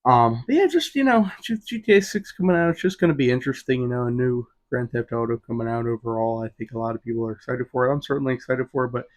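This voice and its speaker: Young male podcast host, 20, fast and rambling, filler-heavy solo chat. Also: medium pitch. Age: 20s. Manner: rambling, many fillers like "you know" and "um".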